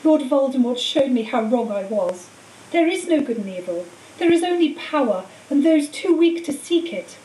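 A woman voicing a cold, treacherous male wizard teacher in an audiobook, speaking in a calm, menacing, matter-of-fact way. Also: high voice, moderate pace, voice pushed up. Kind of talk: audiobook character voice. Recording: roomy echo, distant mic, faint hiss.

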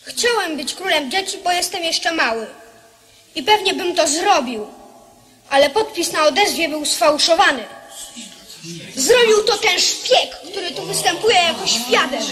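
A woman's voice: extremely high pitch, expressive, measured pace, a bit bright, heavily acted. A woman voicing a proud, defiant little boy king in a radio play, dignified and emphatic. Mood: earnest, defiant. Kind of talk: Polish radio play acting.

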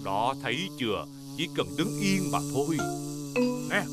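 Very animated cartoon daddy pig. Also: male voice, on the high side, a little slow. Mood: easygoing. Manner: expressive, exaggerated. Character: cheerful, excitable cartoon father pig. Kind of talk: animated children's show dub. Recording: music behind the voice.

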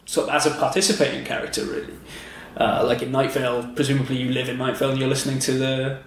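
A man's voice: medium pitch, fairly even intonation, fast talker, reverberant, a little hiss or hum, poor audio. A man hosting a podcast, talking in a casual, chatty, informal and enthusiastic way. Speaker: podcast host and interviewer. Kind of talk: casual conversational interview, chatty.

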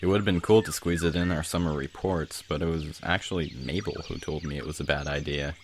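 A man playing an earnest, slightly nerdy teenage boy adventurer in a dramatic comic dub, speaking in a halting, pensive way. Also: very deep voice, fairly even intonation, slight background noise. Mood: reflective, wistful.

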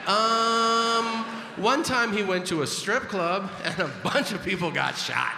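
A man's voice: high voice, vocal fry, a loud crowd. A man telling a long stand-up story on a large theater stage with a handheld mic, slipping into voices for the people in it. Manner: unhurried storytelling with voiced impressions. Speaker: stand-up comedian. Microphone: handheld microphone. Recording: echoey hall with loud audience.

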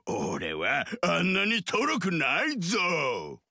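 A man voicing a huge ox-headed monster with a boyish, whiny, excitable way of talking, in video game dialogue. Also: on the high side, takes it slowly, no room sound, studio quality, exaggerated voice. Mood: curious. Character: huge male ox-headed monster, childlike and eager.

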